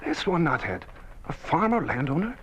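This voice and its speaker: A man's voice: on the high side, sing-song, normal speed, fairly bright tone, muffled recording. An actor playing an irritable, pompous office supervisor in an old-time radio drama, scolding as he talks. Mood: exasperated. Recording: noisy old radio recording.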